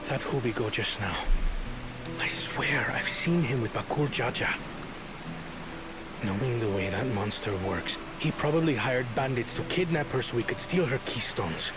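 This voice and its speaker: An actor playing a young catfolk prince, a protective brother who is earnest and hot-tempered, speaking in a formal, measured, dramatic way for a video game. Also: male voice, medium pitch, expressive, music in the background, natural voice. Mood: grim.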